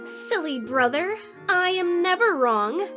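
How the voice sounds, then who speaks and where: A woman's voice: very high voice, lively intonation, slow pace, pushed and bright, faint music behind, exaggerated voice. A woman playing a haughty, teasing sister in an audio drama, smug and mock-formal.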